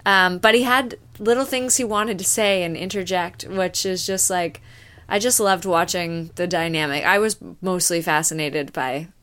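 A woman's voice: fairly low pitch, fairly even intonation, moderate pace, a bit bright. A woman co-hosting a casual podcast, talking in a hesitant way with many ums and restarts. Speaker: podcast co-host.

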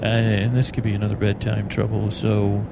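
A man hosting a bedtime podcast in a meandering, halting ramble, stammering and repeating words. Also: lowish voice, gravelly. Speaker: podcast host. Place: on an airplane in flight. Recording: steady airplane cabin noise behind voice. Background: airplane cabin drone.

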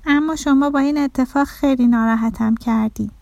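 A woman's voice: sits high, fairly even intonation, creaky, a little mellow, no echo, pitched above the performer's own voice. A woman voicing a curious, innocent kindergarten girl in a children's story, with a wondering childlike manner. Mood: upset.

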